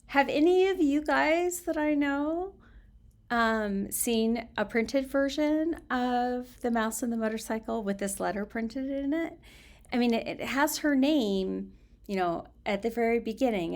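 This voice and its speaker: An older woman talks loosely and fondly, as if to people she knows. Her voice crackles with heavy creak. She sounds short on sleep and a bit punchy, wandering from thought to thought in a chatty, expressive way. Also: on the high side. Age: older, around 60s. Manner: chatty, affectionate, expressive, giggly. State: tired, slightly punchy from little sleep. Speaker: storytelling vlogger. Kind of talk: casual, rambling personal chat to camera.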